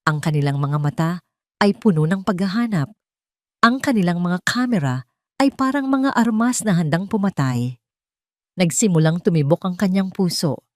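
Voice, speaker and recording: A woman's voice: lowish voice, lively intonation, moderate pace, a little mellow, dry recording, studio quality. A woman reading a scripted dramatic story as its narrator.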